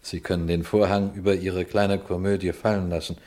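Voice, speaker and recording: Male detective played by a man, low register, composed and dryly ironic, radio crime drama. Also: level tone, brisk, a little mellow, natural voice. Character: male police detective, calm, shrewd, quietly triumphant. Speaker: detective narrating his own case. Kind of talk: German radio crime drama acting and narration.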